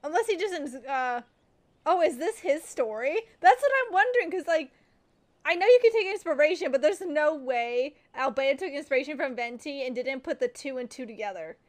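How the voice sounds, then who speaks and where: High-voiced woman streaming a game. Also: animated, normal speed. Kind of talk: casual live game commentary, reading aloud and reacting. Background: game music.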